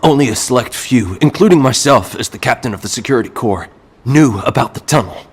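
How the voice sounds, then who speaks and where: An actor playing a wounded, resolute male security captain in a video game, speaking gravely in a labored, husky voice. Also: mid-range voice, animated, fairly quick. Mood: grave, desperate. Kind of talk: video game cutscene acting.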